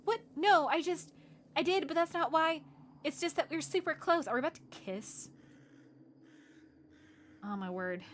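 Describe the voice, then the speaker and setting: Bright, animated hoarse woman streamer. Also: sits high, unhurried, pauses often, a little hiss or hum. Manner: animated, exasperated, laughing reactions. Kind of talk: live game commentary and reading aloud.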